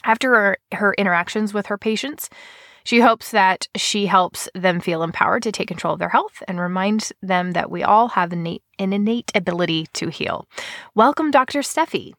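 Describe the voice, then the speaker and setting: A woman's voice: medium pitch, moderate pace, a bit bright, no echo, studio quality. A woman hosting a podcast in a casual, eager, upbeat way, her voice slightly nasal from a cold. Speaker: podcast host.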